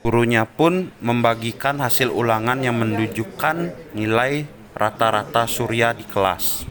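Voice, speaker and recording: A man's voice: mid-range voice, a little slow, crowd noise. A man narrating a scripted story. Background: music behind the voice.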